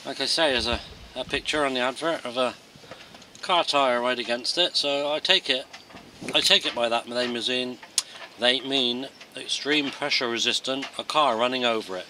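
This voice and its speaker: A man's voice: medium pitch, moderate pace, pushed and bright, a little hiss or hum, rough recording. A man presenting a casual gadget field test in a hesitant, off-the-cuff way.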